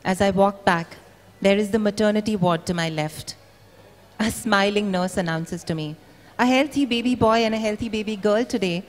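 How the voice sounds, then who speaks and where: A woman's voice: medium pitch, moderate pace. Woman reading aloud in an echoey hall. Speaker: reader at a book event. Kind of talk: dramatic literary reading aloud. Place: large indoor event venue.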